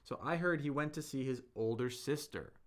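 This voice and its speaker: A man's voice: medium pitch, normal speed. A man teaching a live-streamed Chinese lesson, patiently explaining tones, modelling words aloud and answering viewers' chat.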